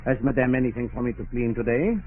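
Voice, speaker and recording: An actor playing a polite, earnest French cleaner with a French accent and formal manner in radio comedy. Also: male voice, mid-range voice, steady tone, quick pace, narrow, tinny audio, lightly acted. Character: polite, literal-minded French male cleaner. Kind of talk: 1940s radio comedy acting. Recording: very noisy, thin old radio recording.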